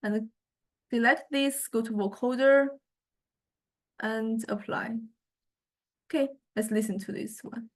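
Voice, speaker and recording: Higher-voiced woman giving a halting lecture demo. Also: fairly even intonation. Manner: halting, thinking aloud while demonstrating. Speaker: music lecturer. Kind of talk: informal software demonstration lecture.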